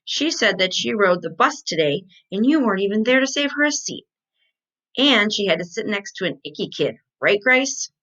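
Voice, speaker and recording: A woman's voice: mid-range voice, lively intonation, normal speed, pushed and bright, highs cut off. A woman voicing a nosy, insistent kindergarten girl in a storybook, coaxing and scolding her friends.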